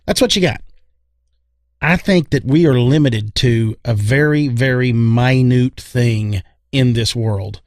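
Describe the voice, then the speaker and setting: A man with a medium-pitched voice talks casually as a podcast co-host. He rambles through his opinions and repeats short phrases for emphasis. The sound is dry and free of echo. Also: slow.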